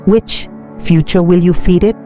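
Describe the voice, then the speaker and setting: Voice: medium pitch, fairly even intonation, unhurried, mellow, soft background music, close to an everyday voice. A narrator voicing a tall, solemn female ancestor spirit who gives challenging prophecies in a folktale. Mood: solemn, ominous.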